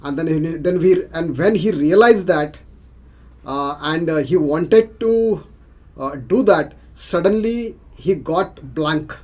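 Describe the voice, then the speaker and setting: A man with an Indian accent speaking haltingly as a participant on a video call. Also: on the high side, a little mellow. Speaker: club session participant.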